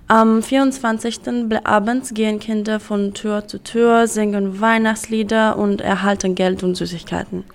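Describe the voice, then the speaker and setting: Gravelly-voiced young woman from Romania. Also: medium pitch, fairly even intonation. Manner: careful, somewhat halting speech in a second language. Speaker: Erasmus student. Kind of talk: interview answers.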